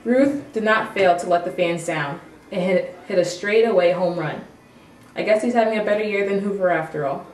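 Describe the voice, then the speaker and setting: A woman's voice: medium pitch, normal speed, darkish tone. A woman reading a scripted amateur news report as a reporter. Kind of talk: scripted amateur mock newscast. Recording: echoey room, distant mic, faint noise.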